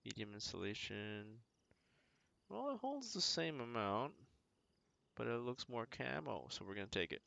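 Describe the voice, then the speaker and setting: A man's voice: medium pitch, sing-song, moderate pace, vocal fry, sharp tone, no echo. A man giving casual video game commentary as a streamer, thinking aloud in short, slangy remarks.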